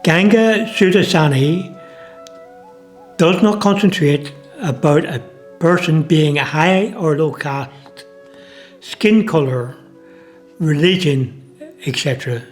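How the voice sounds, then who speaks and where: A man with an Irish accent, narrating a documentary and speaking in a measured, unhurried way with occasional fillers. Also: a little high, faint music behind. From United Kingdom. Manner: slow, measured delivery with hesitant fillers when speaking freely. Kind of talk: scripted narration and casual spoken message.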